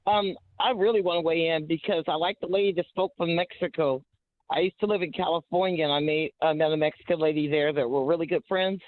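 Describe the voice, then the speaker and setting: A woman calling in to a talk show, loud and chatty as she rambles through her stories. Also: sits low, fairly even intonation, brisk, no echo. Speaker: caller. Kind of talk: call-in storytelling. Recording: dry tinny phone line.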